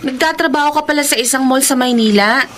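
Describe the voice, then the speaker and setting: A woman playing a high-voiced, caring young friend. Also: quick pace, noisy background, lightly acted. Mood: eager. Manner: consoling, friendly. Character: young woman narrating her friend's heartbreak. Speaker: narrator and letter sender. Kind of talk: radio drama narration and acting. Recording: radio drama with music bed.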